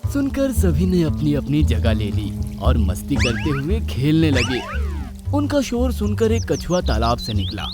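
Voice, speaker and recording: Animated male children's storyteller over music. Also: fairly high pitch, measured pace. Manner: expressive, animated. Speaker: storyteller, narrator. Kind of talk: scripted children's story narration. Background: background music.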